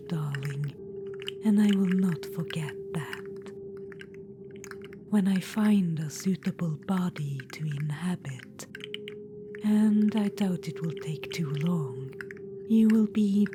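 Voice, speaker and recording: A woman's voice: on the low side, noisy background. A woman voicing a scheming, ancient undead witch-queen spirit in a whispered ASMR audio roleplay, silky and condescending, calling the listener darling. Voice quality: soft unvoiced whisper.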